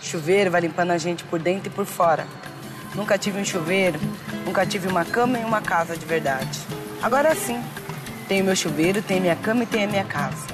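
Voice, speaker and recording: A woman's voice: on the low side, a little fast, loud music behind the voice. A woman from Brazil with a Brazilian accent, giving conversational personal testimony as an interviewee. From São Paulo, Brazil. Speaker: interviewee, writer telling her life story.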